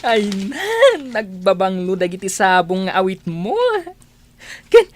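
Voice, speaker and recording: An actress playing a cheeky, giggly younger sibling in an Ilocano radio drama, with playful, exaggerated delivery. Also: female voice, medium pitch, a little slow, a little hiss or hum, natural voice. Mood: gushing, giddy.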